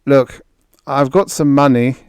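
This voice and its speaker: A man's voice: medium pitch, steady tone, unhurried, a little mellow, clean tone, no room sound, voice pushed up, close to an everyday voice. A man reading an audiobook, voicing an anxious, impatient family man on a spaceship who talks in an agitated, questioning way. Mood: confused, urgent. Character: anxious, impatient husband and father stowed on a spaceship. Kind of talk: audiobook character voice.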